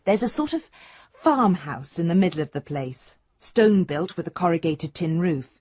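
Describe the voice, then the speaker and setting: Fond daughter reading a letter home, lowish husky voice, earnest, radio play. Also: female voice, animated, moderate pace, a little mellow, no echo. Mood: fond, hopeful. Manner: affectionate, earnest. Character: affectionate, hopeful married daughter writing home to father. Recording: dry, slightly dull studio sound.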